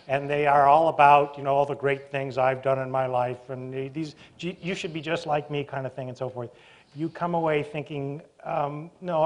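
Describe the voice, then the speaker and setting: A middle-aged man moderating a stage conversation in a university auditorium, chatty and wry, often restarting his sentences, on a lapel mic. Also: on the high side. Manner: chatty, self-interrupting, wry. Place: university auditorium with live audience.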